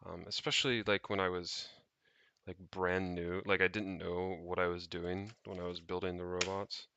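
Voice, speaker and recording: A man's voice: deep voice, little pitch movement, normal speed, pushed and bright, clean tone, muffled recording. A man chatting off the cuff on a livestream, rambling and thinking aloud with casual swearing as he tinkers. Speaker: streamer, tech hobbyist. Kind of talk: casual unscripted livestream chat while tinkering. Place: indoors, rented home.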